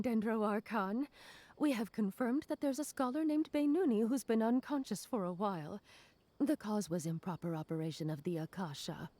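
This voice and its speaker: A woman's voice: on the high side, mellow, dry recording. A woman voicing a polite, helpful clerk in a video game, speaking courteously and formally. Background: game music.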